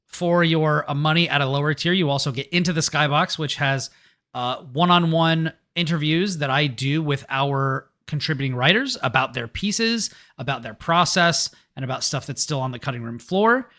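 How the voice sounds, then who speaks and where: A tired man hosting a casual podcast, joking and chatting in a relaxed way. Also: fairly high pitch, normal speed. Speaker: podcast host.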